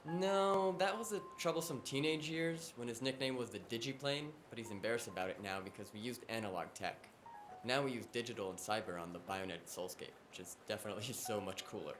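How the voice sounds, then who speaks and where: A man's voice: medium pitch, fairly quick, music in the background, lightly acted. A man playing a flippant, sarcastic, cocky hacker in a cyberpunk audio drama. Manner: wisecracking, casual, sarcastic.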